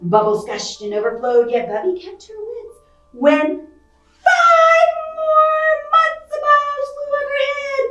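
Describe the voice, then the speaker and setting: A woman reading a picture book aloud to children, expressive and playful, with chatty asides and questions to her young listeners. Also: high voice, echoey room, far from the mic, poor audio. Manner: expressive, playful, acting out sound effects. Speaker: library storytime reader.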